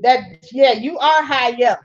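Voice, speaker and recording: A woman's voice: sits high, a little slow, muffled recording. A woman hosting a casual live stream. She reads viewer comments aloud, answers them, and laughs often. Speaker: channel host reading live chat.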